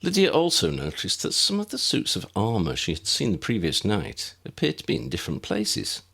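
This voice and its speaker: A man's voice: lowish voice, wildly swooping pitch, moderate pace, gravelly, fairly bright tone, breathy edge. A man narrating an audiobook story in a scripted storytelling style.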